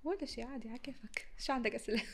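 A woman's voice: on the high side, racing. A young woman from Iraq with a mixed Syrian and Iraqi Arabic accent, chatting as a podcast guest and laughing often. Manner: laughs often, mixes dialects. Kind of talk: casual interview chat.

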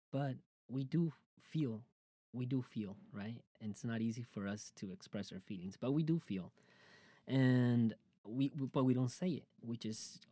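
A man's voice: medium pitch, warm tone, no room sound. A man in his late twenties hosting his own podcast, talking casually and openly about his life, rambling with many fillers and restarts. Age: 20s. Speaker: podcast host. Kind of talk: casual personal monologue.

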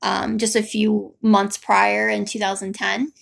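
A woman's voice: medium pitch, little pitch movement, a little slow, gravelly. A young woman hosting a casual chat, explaining her side of a story in loose, informal phrasing. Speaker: host telling the couple's story.

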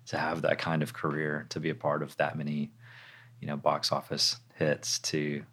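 A man's voice: rumbling low, a bit bright. A man hosting an interview, asking long, rambling, encouraging questions. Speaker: podcast host. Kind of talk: conversational interviewing.

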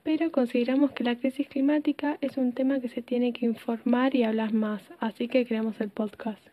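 Even-toned teenage Argentine girl. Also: fairly high pitch, normal speed, narrow, tinny audio. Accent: Argentine Spanish. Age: teens, secondary school student. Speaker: podcast co-host. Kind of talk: conversational opinion podcast.

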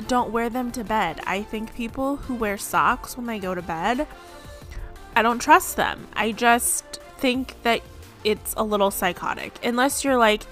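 A woman hosting her own podcast, rambling casually with lots of "like" and quick laughs, her voice a little strained. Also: on the high side, a bit bright, soft background music. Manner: rambling, chatty, frequent "like" and laughs. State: slightly strained voice. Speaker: podcast host. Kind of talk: casual solo podcast chat. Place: home, seated.

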